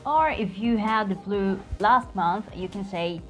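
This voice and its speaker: Cuban-accented woman, native Spanish speaker, friendly slang teacher, even mid-range voice over faint music. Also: mid-range voice. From Cuba. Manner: clear, careful, repeats phrases slowly. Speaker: language teacher, channel host. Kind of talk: slang lesson to camera.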